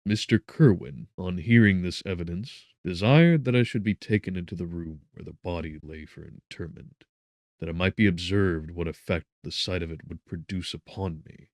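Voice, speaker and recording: A man reading a gothic audiobook in a solemn, measured, dramatic way, with brooding pauses. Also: low voice, a little mellow, no room sound, studio quality. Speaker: audiobook narrator. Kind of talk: dramatic scripted narration of gothic literature.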